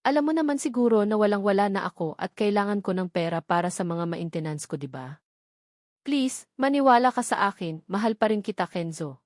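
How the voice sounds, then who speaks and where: A woman's voice: medium pitch, expressive, brisk, darkish tone, dry recording, lightly acted. Female narrator as desperate former girlfriend in a romance audiobook, pleading woman, dry close sound.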